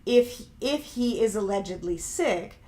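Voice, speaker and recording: A woman's voice: medium pitch, lively intonation, unhurried, mellow. A middle-aged woman hosting a podcast who sounds younger than her age, talking in a reflective, conversational way and often hedging what she says. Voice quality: youthful-sounding voice. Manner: reflective, conversational, hedging with "allegedly" and "if you will". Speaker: podcast host. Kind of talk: casual commentary podcast, reading viewer comments.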